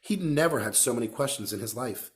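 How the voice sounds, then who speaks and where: A man's voice: mid-range voice, expressive, a little fast. A man reading a children's novel aloud as a narrator. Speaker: story reader.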